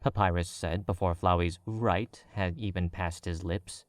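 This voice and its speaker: A man narrating a story in a measured, even storytelling manner. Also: deep voice, dry recording. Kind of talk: scripted audiobook narration.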